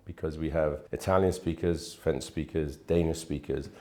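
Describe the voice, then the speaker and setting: A man in his fifties telling stories from his life in a relaxed, chatty interview, often restarting his sentences. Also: rumbling low, moderate pace. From Wales. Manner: chatty, rambling with frequent restarts. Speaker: interviewee, real estate manager. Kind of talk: relaxed anecdotal storytelling.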